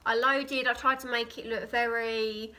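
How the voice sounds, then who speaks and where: A young British woman chatting casually and telling an anecdote in a giggly, animated way. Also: on the high side, unhurried. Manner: giggly, animated storytelling with acted quotes.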